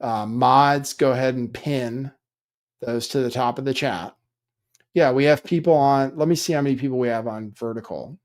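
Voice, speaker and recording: A man at mid pitch hosts a live stream in a loose, offhand manner. His tone is dry, and he drifts between comments and housekeeping asides. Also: moderate pace. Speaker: livestream host.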